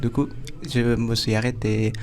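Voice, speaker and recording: Halting teenage boy from Vietnam. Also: mid-range voice, flat delivery, a little mellow. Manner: halting, many restarts. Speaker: high school student.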